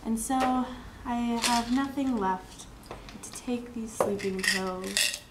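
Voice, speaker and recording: A woman's voice: a little high, slow pace. A woman playing a vain, money-hungry socialite fallen on hard times, in an amateur dramatic monologue. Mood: weary, defeated. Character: vain, ruined socialite woman craving money, world-weary. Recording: echoey room, faint background noise.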